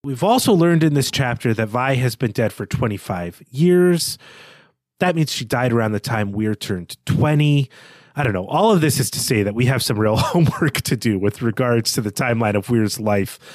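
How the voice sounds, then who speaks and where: A man's voice: mid-range voice, expressive, moderate pace, a little mellow, no room sound. A man co-hosting a literary discussion podcast, talking analytically in bookish phrasing. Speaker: podcast co-host.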